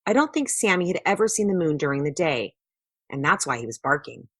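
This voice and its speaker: A woman's voice: deep voice, sing-song, a little fast, a bit bright, no echo, clean studio sound, exaggerated voice. A woman voicing a chatty, good-natured young boy in a children's storybook. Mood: eager, friendly. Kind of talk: children's storybook read-aloud.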